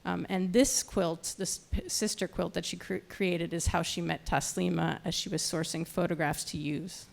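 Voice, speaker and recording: A woman hosting an event, giving a semi-scripted introduction of speakers with some halting stumbles over her words. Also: lowish voice, fairly even intonation, gravelly. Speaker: nonprofit programs director introducing panel speakers.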